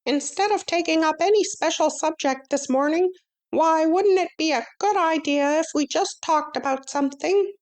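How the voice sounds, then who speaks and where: Woman voicing a tiny pompous mouse-man teacher, very high and bright-toned, crisp and bossy, storybook read. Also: normal speed, natural voice. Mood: curt. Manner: crisp, bossy, lecturing, curt. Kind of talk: storybook reading.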